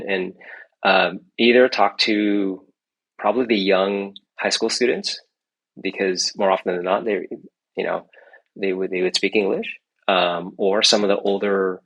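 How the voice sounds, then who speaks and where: Gravelly low-voiced man, rambling. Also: fairly even intonation, normal speed, fairly bright tone, rough recording. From United States. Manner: rambling with many restarts and tag questions. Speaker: entrepreneur guest. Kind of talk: casual interview conversation.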